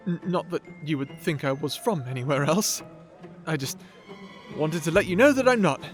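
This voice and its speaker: Man playing a stammering young wizard, higher voice. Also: animated, normal speed, faint music behind, lightly acted. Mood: flustered, apologetic. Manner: stammering, apologetic, flustered. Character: shy, nerdy, awkward young male wizard, anxious and polite. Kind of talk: fantasy audio roleplay acting.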